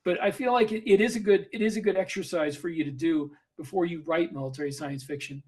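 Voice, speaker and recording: A man's voice: a little high, fairly even intonation, fast, darkish tone. A man in a casual podcast discussion, explaining things and giving advice in a conversational way. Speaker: podcast host and author.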